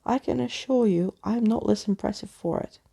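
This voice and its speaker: A woman plays an old living skeleton gentleman from a children's storybook. He is pompous, wordy and unflappable, with a very creaky, slightly husky voice and florid, muddled phrasing. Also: medium pitch, moderate pace. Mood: unruffled. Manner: florid, grandiose wording with muddled words.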